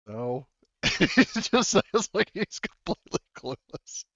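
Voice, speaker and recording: Lively game master with dull audio. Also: male voice, high voice, slow pace, no echo. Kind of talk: casual tabletop roleplay banter.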